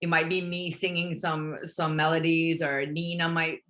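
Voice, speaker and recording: A woman with a Finland-Swedish accent, her first language Swedish, answering interview questions over a call from home. Her voice is bright and clear, and she speaks softly and hesitantly, with plenty of fillers and restarts. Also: deep voice, level tone, normal speed. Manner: soft-spoken, hesitant, lots of fillers and restarts. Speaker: guest singer-songwriter. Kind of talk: casual interview chat. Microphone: remote call from home.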